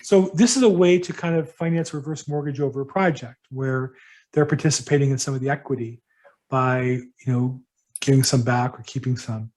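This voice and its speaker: A middle-aged man, a real estate broker, answering questions at a workshop in a casual, halting way with many ums and restarts. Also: medium pitch, a little mellow. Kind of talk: casual advice to attendees.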